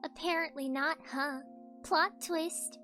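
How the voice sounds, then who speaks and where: A woman voicing a sulky, flippant teenage girl villain from an animated series, with a childish manner. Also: high voice, takes it slowly, soft background music, voice pushed up, full character voice. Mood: defensive, flippant.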